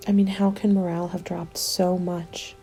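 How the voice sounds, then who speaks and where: A woman playing a weary survivor of a zombie winter in a soft, breathy, confiding ASMR roleplay. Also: on the low side, level tone, moderate pace, soft background music, close to an everyday voice. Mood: grim, worried.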